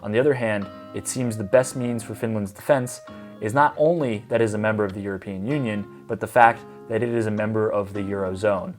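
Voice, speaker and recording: A man's voice: lowish voice, brisk, faint music behind. A young man giving a scripted commentary vlog with wry, sarcastic asides. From United States. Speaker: vlogger.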